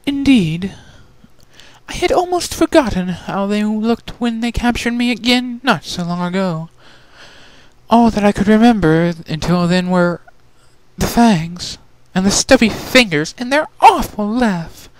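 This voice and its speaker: A reader doing a high, genteel young pony girl. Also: expressive, unhurried, dry recording, close to an everyday voice, poor audio. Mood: refined, shaken. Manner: refined, polite, theatrical. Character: genteel, timid young unicorn pony girl, polite and dramatic.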